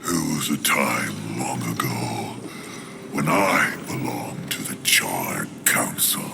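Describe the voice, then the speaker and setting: Ancient otherworldly lord, game role: bottomless gravelly bass, slow formal delivery, expressive swings. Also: male voice, over music, full character voice. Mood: solemn, reflective. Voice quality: gravelly, cavernous. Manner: slow, grave, formal pronouncements with dramatic pauses. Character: ancient solemn Lord of Hollows, demonic soul-gathering being. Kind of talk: dramatic video game acting.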